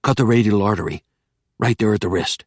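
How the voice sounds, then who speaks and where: A man voicing a gruff older male doctor who gives curt, cold commands. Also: fairly low pitch, fairly quick, dry recording, studio quality. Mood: commanding.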